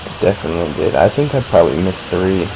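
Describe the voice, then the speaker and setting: A man's voice: low voice, big pitch swings, fast talker, background noise. A man chatting casually to himself as he plays a game. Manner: musing to self. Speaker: game player. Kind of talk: casual gameplay chat.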